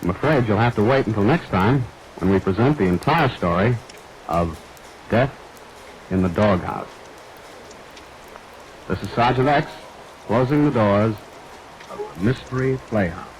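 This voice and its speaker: A wry host of an old-time radio mystery. His voice is fairly low and he speaks with deliberate, teasing relish. Light noise and rain sit behind the vintage recording. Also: lively intonation, low-quality recording. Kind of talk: 1940s radio mystery hosting.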